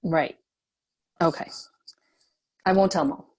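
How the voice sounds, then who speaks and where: A woman playing a guarded but caring leader in a screenplay table read, measured and matter-of-fact. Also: lowish voice, a little mellow. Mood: reassuring.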